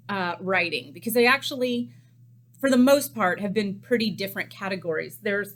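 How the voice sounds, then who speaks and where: A woman's voice: mid-range voice, fairly bright tone. Chatty chef in her fifties. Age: 50s. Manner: chatty and candid, many ums. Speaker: chef hosting a cookbook series. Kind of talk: casual chat to camera. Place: small cooking studio indoors.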